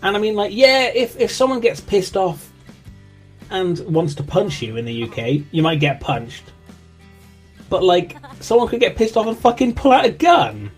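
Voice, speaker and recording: A young Englishman with a slightly high voice chats loosely on a game stream, greeting viewers by name and swearing freely. Faint music plays underneath his desk mic. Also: sing-song, normal speed, slightly rough. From England. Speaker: co-host streamer. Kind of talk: casual game stream chat.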